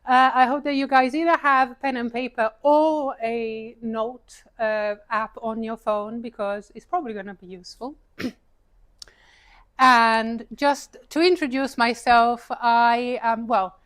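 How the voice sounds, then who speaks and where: A woman's voice: a little high, fairly even intonation, a little slow, a bit bright. Chatty Italian-accented woman speaker. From Italy. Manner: chatty and self-deprecating, laughs often, many fillers. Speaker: paid advertising speaker. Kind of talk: live talk to an audience. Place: event room with an audience, seated.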